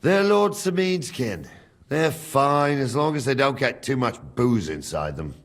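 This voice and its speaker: An actor playing a gruff, teasing older castle captain with a husky voice and blunt, colloquial talk in a video game. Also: male voice, medium pitch, very animated, measured pace. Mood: gruff, matter-of-fact. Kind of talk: video game dialogue acting.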